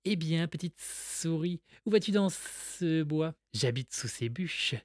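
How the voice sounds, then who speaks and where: A woman playing a sly, falsely friendly, cowardly snake in a children's story, with drawn-out phrasing. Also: low voice, lively intonation, darkish tone, dry recording, studio quality. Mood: sly, coaxing.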